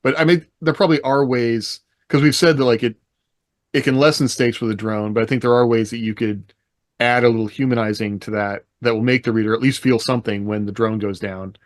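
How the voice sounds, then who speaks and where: A man's voice: medium pitch, steady tone, brisk, no echo, studio quality. A man hosting a casual podcast discussion, talking informally in loosely strung thoughts peppered with "like". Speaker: podcast host.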